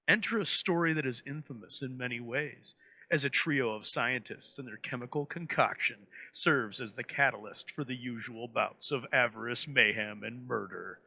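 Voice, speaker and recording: A man presenting an old radio episode in florid, dramatic scripted narration with deliberate pauses. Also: medium pitch, gravelly, sharp tone.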